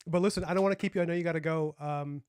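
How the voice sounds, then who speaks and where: A man's voice: fairly high pitch, steady tone, rapid-fire, darkish tone. A young man with a light, youthful voice, chatting casually on a livestream and rambling with lots of fillers. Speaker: streamer and comic artist.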